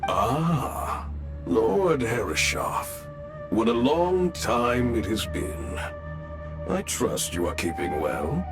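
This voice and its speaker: An actor playing an ancient mechanical saint in a game, courteous, stately and ceremonious. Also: male voice, on the low side, wildly swooping pitch, extremely slow, full character voice. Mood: courtly. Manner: stately, formal. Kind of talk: scripted video game cutscene acting. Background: music.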